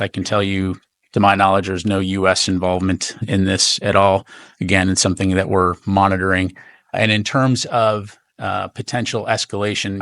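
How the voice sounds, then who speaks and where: A very low-pitched American man with a creaky edge answers press briefing questions as a spokesman. He is guarded and formal, in a dry recording. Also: fairly bright tone, no room sound. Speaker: Pentagon spokesman.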